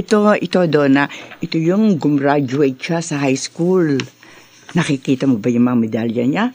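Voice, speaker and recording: A woman's voice: low-pitched, normal speed, dark tone. A woman playing a doting, teasing single mother of a grown son in a radio drama, effusive and boastful, with a Filipino accent. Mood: proud, gushing. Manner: effusive, boastful about her son, playfully scolding.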